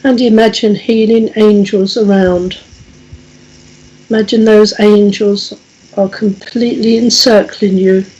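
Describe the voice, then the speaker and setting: A woman with a croaky, husky voice, reading prayers and leading a gentle healing meditation at a church service. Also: medium pitch, steady tone, dull audio. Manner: gentle, measured, with frequent small restarts. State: croaky, coughing voice.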